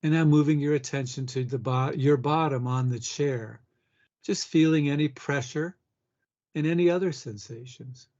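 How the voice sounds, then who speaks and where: A man leading a guided meditation with gentle instructions, speaking unhurriedly and pausing between short phrases. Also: a little high, highs cut off.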